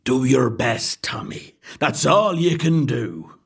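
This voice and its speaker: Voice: medium pitch, animated, slow pace, creaky, breathy edge, exaggerated voice. An actor playing a weary, despairing father who is desperate and commanding, in an audio horror drama. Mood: gentle, weary.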